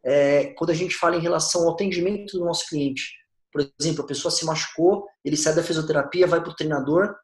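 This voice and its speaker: Dude w/ a kinda high voice setting up the chat, friendly, room echo all around. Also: male voice, steady tone, quick pace. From Brazil. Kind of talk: casual discussion intro. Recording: roomy echoing room.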